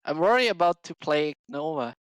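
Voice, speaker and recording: A man with a smooth, clear voice and a dry, close sound chats casually as a podcast guest. He is a non-native English speaker who builds short, halting, simple phrases. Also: on the high side, animated, no echo. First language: not English. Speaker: podcast guest, esports player. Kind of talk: casual chat.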